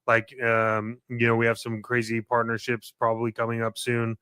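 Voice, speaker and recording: A man with a bright, crisp voice at medium pitch co-hosts a podcast. His promotional chat is informal and hesitant in its phrasing, recorded dry and close. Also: completely flat delivery, normal speed, no echo. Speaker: podcast co-host.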